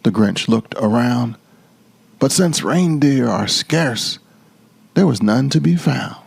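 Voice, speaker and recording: A man reading a children's storybook dramatically, with theatrical, drawn-out emphasis. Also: medium pitch, lively intonation, gravelly, husky.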